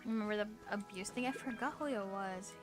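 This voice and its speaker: Casual young woman streamer over soft music. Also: mid-range voice, a little fast. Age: young adult. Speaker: game streamer. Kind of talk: casual live stream chat.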